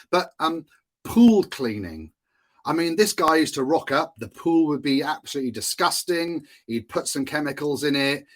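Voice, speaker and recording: A Welsh man talks through a solo podcast episode in a loose, chatty way. He restarts sentences, drops in fillers and chuckles at his own asides. His voice sits at an ordinary middle pitch for a man. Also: fairly bright tone. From Wales. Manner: chatty, self-amused, laughs often, many fillers and restarts. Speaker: podcast host, marketing consultant.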